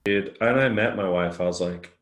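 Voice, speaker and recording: A middle-aged man with a deep baritone voice, chatting in a relaxed, rambling way as a podcast guest and laughing often. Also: moderate pace, echoey room. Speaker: guest singer-songwriter. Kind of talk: casual interview chat.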